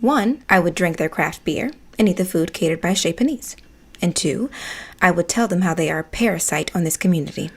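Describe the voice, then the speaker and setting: An actress playing a sardonic, opinionated neighbor in an audio play, narrating events in a conversational, pointed way. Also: female voice, on the low side, lively intonation, a little fast, husky. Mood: wry, candid. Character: opinionated, sardonic woman, socially conscious neighbor. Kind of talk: storytelling monologue in an audio play.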